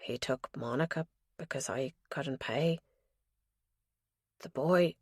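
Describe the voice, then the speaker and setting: A woman's voice: rumbling low, slow, darkish tone, slightly rough, dry recording, clean studio sound, pitched below the performer's own voice, heavily acted. A woman voicing a confused, guilt-ridden dying old man in an audiobook, speaking in a broken, emotional way. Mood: faint, confused. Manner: mumbling, wailing, broken. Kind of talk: audiobook character dialogue.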